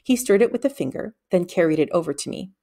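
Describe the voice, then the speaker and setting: Expressive woman storyteller, lowish pitch. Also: fast talker, creaky. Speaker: audiobook reader.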